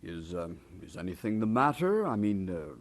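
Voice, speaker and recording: An actor playing a deferential church official in a radio drama, halting and hesitant. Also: male voice, sits low, sing-song. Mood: uneasy, deferential.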